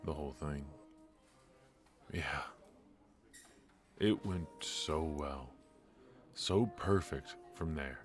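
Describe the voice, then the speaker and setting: An extremely low, unhurried male voice for a brooding mafia defector, acted by a man in a noir ASMR roleplay. He is ruthless yet remorseful, confiding in halting, intimate phrases over faint background music. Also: full character voice. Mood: confiding, measured. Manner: halting, hesitant, confiding delivery.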